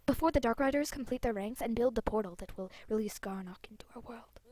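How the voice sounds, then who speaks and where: A woman's voice: a little high, fast talker, darkish tone, no room sound, pitched below the performer's own voice, natural voice. A grave leader of magical riders gives warnings in a fantasy game, as a woman player reads them out. The delivery is subdued and serious, in a dry recording. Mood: grave, hushed. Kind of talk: reading out fantasy game dialogue.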